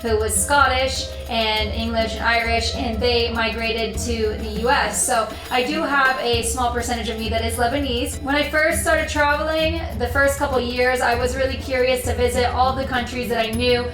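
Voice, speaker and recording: A young woman with an American accent talks to her viewers in an earnest, meandering way, circling back over her own points. Her voice sits a bit high and comes from some way off the mic in a roomy, echoing space. Music plays clearly underneath. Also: steady tone, moderate pace, hardly any pauses, recorded from a distance. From United States. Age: young adult. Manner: earnest, rambling, repeats herself. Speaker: travel vlogger. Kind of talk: casual talk to camera.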